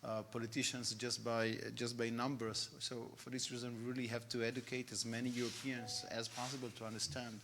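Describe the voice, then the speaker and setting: Even-toned non-native English-speaking man. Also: mid-range voice, slight background noise. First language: not English. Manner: halting, searching for words. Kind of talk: unscripted remarks.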